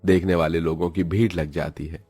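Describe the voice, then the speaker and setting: A man's voice: low voice, steady tone, normal speed, dark tone, breathy edge, soft background music. A man reading scripted, dramatic narration as a storyteller.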